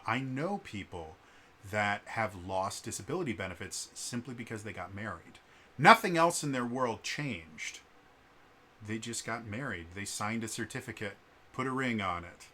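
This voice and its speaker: A man's voice: fairly low pitch, sharp tone, a little hiss or hum. A man with a stutter vlogging unscripted, earnest, with many fillers and restarts. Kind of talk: unscripted vlog monologue.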